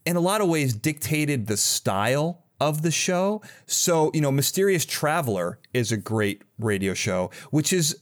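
A man's voice: fairly high pitch, sing-song, measured pace, few pauses. A man hosting a podcast, chatting casually and enthusiastically with rambling, conversational asides. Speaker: podcast host. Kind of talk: casual enthusiastic interview chat.

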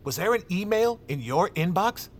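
An actor playing an irate, bullying office boss who barks with contempt. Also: male voice, high voice, animated, normal speed, slight background noise. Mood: furious, scornful. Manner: barking, contemptuous.

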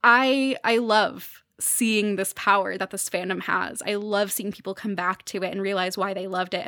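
A woman's voice: mid-range voice, fairly bright tone, dry recording, studio quality. A woman speaking as a podcast guest, giving thoughtful conversational commentary in an earnest, reflective and emphatic way.

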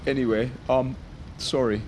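A man's voice: medium pitch, steady tone, normal speed, a little mellow, slight background noise, close to an everyday voice. A man playing an awkward, apologetic, self-deprecating ex-boyfriend in a naturalistic audio drama, dejected and hesitant.

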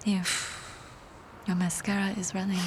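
A woman's voice: medium pitch, level tone, measured pace, noisy background, natural voice. An actress playing an affectionate, flirtatious woman in a naturalistic audio drama. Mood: tender, intimate. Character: affectionate, flirtatious woman, tender and bold with her friend.

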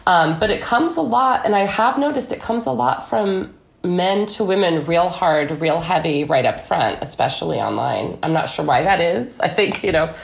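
A woman's voice: lowish voice, animated, normal speed, creaky. A woman speaking as an interview guest in a conversational political discussion, with an emphatic, anecdotal way of talking. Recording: echoey room.

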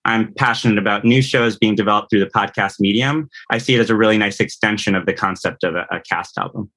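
A man's voice: fairly low pitch, fast, bright, forceful tone. A man from New York state speaking as an interview guest in an earnest, explanatory, conversational way. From New York state, United States. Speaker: podcast guest, composer and audio producer.

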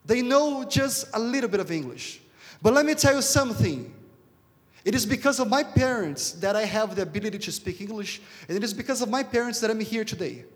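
A man from Brazil with a Brazilian accent and Portuguese as his first language, preaching a sermon in an emphatic, repetitive way with rhetorical questions. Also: very high-pitched. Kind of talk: sermon to a congregation. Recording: reverberant hall sound.

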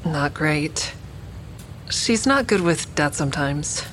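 A woman's voice: sits low, expressive, takes it slowly, fairly bright tone, a little hiss or hum, full character voice. An actress playing a curious young woman who narrates her story in an intimate, wry way in an audio drama. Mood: subdued. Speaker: narrator and lead character. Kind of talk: audio drama first-person narration and natural dialogue. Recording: faint music behind the voice.

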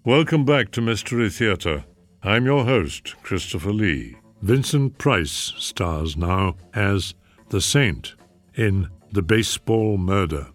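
A man's voice: fairly low pitch, animated, slow, soft background music. A man hosting a classic radio mystery show with scripted introductions. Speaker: host.

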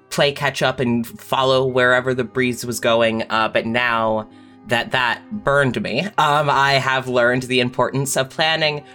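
A woman's voice: rumbling low, fairly bright tone. A woman speaking candidly and conversationally as a guest writer reflecting on craft. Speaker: mystery writer, podcast guest.

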